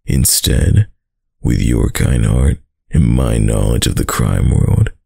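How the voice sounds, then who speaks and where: A man playing a dominant, doting young mafia heir in an intimate boyfriend roleplay, with a very deep, gravelly voice and a slow, tough-guy delivery. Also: mellow, dry recording, full character voice. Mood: earnest, devoted. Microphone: close, dry microphone.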